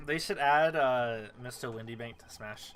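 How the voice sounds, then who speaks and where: A man's voice: mid-range voice, measured pace, music in the background. A man chatting casually and jokingly with friends during a video game stream. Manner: joking, informal.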